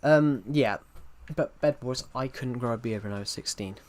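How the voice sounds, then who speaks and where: A man's voice: mid-range voice, normal speed. Man with a thick, R-heavy accent. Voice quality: thick accent, strong R sounds. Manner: rambling, answers chat messages aloud. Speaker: live streamer. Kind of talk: casual live-stream chat. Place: at home at a PC.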